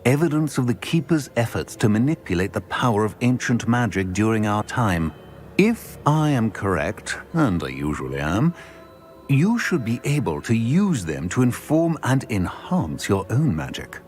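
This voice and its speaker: A man's voice: lowish voice, big pitch swings, normal speed, a little mellow, soft background music. A man voicing a self-assured, dry older professor in a game cutscene. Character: proud, clever older male professor.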